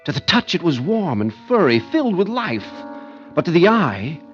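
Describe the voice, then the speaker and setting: A man's voice: a little high, very animated, moderate pace, a bit bright, soft background music. An actor playing an anxious, weak-willed man in an old radio thriller, grave and hesitant, on a muffled recording. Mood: grave, haunted. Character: anxious, weak-willed brother recounting a horror. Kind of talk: old-time radio thriller narration and acting.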